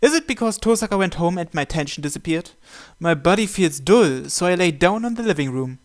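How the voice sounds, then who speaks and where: A man's voice: sits high, expressive, brisk. A man hosting a visual novel playthrough, reading the first-person narration aloud.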